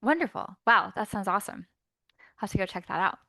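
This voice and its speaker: A woman hosting an interview, upbeat and enthusiastic, trading quick affirmations with her guest. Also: mid-range voice, animated, pushed and bright, no room sound, studio quality. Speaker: podcast host.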